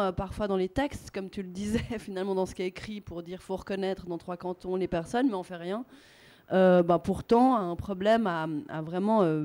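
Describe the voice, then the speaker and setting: A woman moderating a group discussion, reflective and animated, with frequent restarts. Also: medium pitch. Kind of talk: spontaneous discussion.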